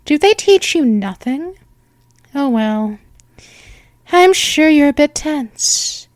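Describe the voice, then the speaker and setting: A woman voicing a slow, soothing vampire mistress. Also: high voice, animated, dry recording, studio quality, pitched above the performer's own voice. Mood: reassuring. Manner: soothing, hypnotic, slow and coaxing. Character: ancient, calm, seductive female vampire mistress. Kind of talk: hypnosis ASMR roleplay.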